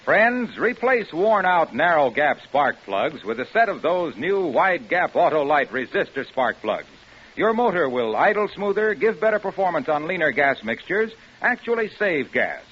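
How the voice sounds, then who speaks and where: A man's voice: fairly high pitch, very animated, moderate pace, slightly rough, soft background music. A man reading a scripted old-time radio commercial as an announcer, in an emphatic, salesy manner. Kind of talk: scripted 1950s radio advertising pitch. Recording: dull vintage radio recording.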